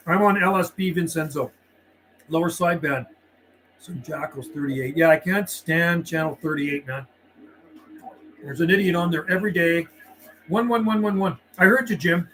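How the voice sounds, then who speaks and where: A man's voice: fairly high pitch, fairly bright tone, a little hiss or hum, poor audio. A man working as a CB radio operator on a livestream, mixing radio calls with laid-back, friendly, slangy chat. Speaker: CB radio operator and live streamer.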